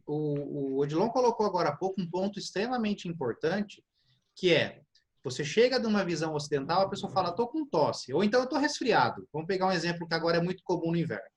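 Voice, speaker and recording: Animated male acupuncture teacher. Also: on the high side, fairly quick. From Paraná, Brazil. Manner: animated, acts out patients' complaints. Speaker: guest professor of acupuncture. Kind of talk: explanatory teaching talk.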